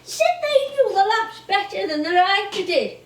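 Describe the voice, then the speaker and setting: An actress playing a stubborn, wry old music-hall comedienne with a northern English accent in a stage drama. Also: female voice, extremely high pitch, expressive, moderate pace, fairly bright tone. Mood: wry, reminiscing. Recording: echoey theatre, distant mic.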